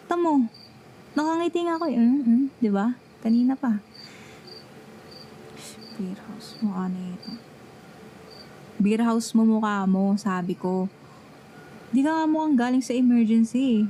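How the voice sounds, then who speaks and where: Woman acting a grumpy, jealous young Filipina, mellow-voiced. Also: a little high, slight background noise, lightly acted, rough recording. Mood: sarcastic, jealous. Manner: dramatic, sulky, sarcastic. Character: jealous, grumpy best friend feigning indifference. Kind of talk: ASMR roleplay, one-sided dialogue.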